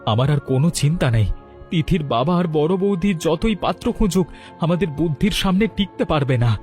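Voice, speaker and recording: High, mellow, earnest young man voiced by an actor. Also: animated, a little fast, faint music behind, natural voice. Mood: elated. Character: anxious, lovesick young unemployed man, earnest. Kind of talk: dramatic cartoon voice acting.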